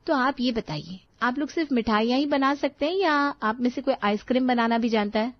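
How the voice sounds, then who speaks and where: Woman doing a spirited pampered young daughter in law, highish voice, storybook drama, dry sound. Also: lively intonation, fairly quick, dry recording, highs cut off, close to an everyday voice. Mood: confident, determined.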